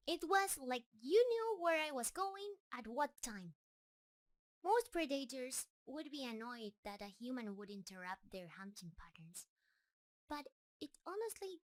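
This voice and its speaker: A woman acts out a shy female spider hybrid with a very high voice. She speaks slowly and dreamily, with a gentle, nervous sweetness. The delivery is an intimate roleplay monologue, adoring and quietly obsessive. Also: full character voice.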